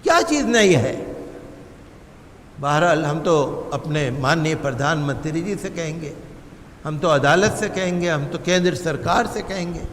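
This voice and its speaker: High-pitched imam in echoing hall. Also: male voice, fairly bright tone. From India. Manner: oratorical, repetitive phrasing with rhetorical questions. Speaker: imam giving a sermon. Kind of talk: impassioned sermon on public affairs. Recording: long hall reverb.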